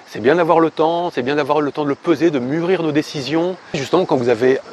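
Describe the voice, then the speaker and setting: A man's voice: a little high, fast talker. A man giving casual interview testimony as a building design professional, colloquial and often repeating words. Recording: noticeable ambient background noise.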